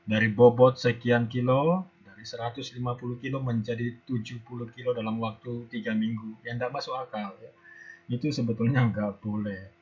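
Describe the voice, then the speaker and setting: A hoarse man giving an online university lecture, pausing often with fillers, heard over a video call. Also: medium pitch, fairly even intonation, darkish tone. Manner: hesitant, many filler pauses. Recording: dull lo-fi video call audio.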